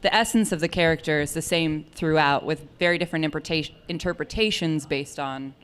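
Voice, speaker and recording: A stage actress from Los Angeles answering audience questions, thoughtful and hedging with fillers. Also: female voice, sits low, brisk, a bit bright, clear, resonant tone. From Los Angeles, California. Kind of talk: casual talkback answer.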